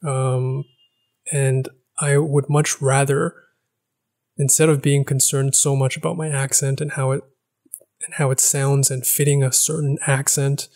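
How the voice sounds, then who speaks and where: Relaxed young man musing, slightly gravelly. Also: medium pitch, a little slow. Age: young adult. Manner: thoughtful, rambling with restarts and fillers. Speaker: language-learning vlogger. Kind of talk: casual reflective monologue. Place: small living room with a couch. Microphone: boom-arm podcast mic.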